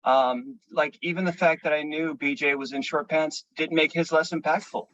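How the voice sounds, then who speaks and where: A man emceeing a showcase, talking casually and rambling with lots of fillers. His voice is a bit high, and the sound is dry and muffled. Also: steady tone, moderate pace, fairly bright tone, no room sound. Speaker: host of a veterans performance showcase.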